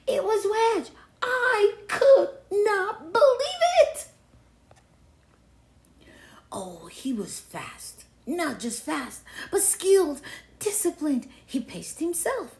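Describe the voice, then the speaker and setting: A woman's voice: very high voice, big pitch swings, very slow, pitched above the performer's own voice, heavily acted. A woman voicing a pompous, showy big cheese in a theatrical picture-book read-aloud. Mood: astonished. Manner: pompous, theatrical, self-admiring. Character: boastful, showy big cheese craving the spotlight. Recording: roomy, echoing sound.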